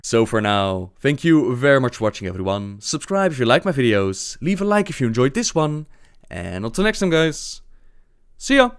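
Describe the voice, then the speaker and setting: A man's voice: medium pitch, no room sound. A man narrates game lore as a video host, speaking in a mid-range voice. The sound is dry and close, with very little room in it.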